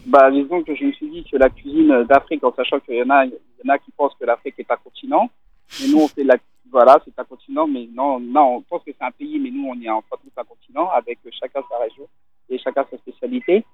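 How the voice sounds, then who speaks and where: A man from Italy, a restaurant owner, talking casually on the phone in an interview, relaxed and hesitant with many fillers. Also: medium pitch, fast talker, breathy edge, no echo. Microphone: telephone. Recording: tinny phone line.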